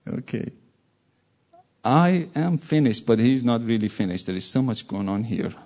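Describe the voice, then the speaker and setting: A man's voice: mid-range voice, expressive, moderate pace, mellow. A man from Iran with a Persian accent, giving an informal sermon with jokey asides. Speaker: preacher.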